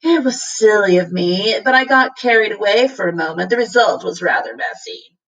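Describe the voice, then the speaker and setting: A woman voicing a sly, flattering male cat creature in a storybook, with a theatrical, gushing and wheedling manner. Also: medium pitch, normal speed, distant mic, dull audio. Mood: evasive, dramatic.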